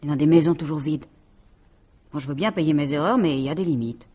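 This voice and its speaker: An estranged wife in a radio mystery drama, her voice low and husky. She is insistent and stubborn, moving between halting pleas and firm warnings. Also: mellow. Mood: insistent, fed up. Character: estranged wife, remorseful but stubborn, pleading then threatening.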